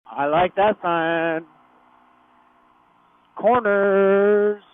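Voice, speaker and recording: A man's voice: high voice, very slow, smooth, dry recording. A man chatting casually as he rides, heard through a motorcycle helmet intercom. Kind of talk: casual chat while riding. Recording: dry, tinny helmet intercom radio.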